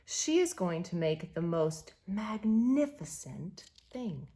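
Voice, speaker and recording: A woman reading a children's picture book aloud in an expressive, deliberate way, stressing key words. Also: fairly low pitch.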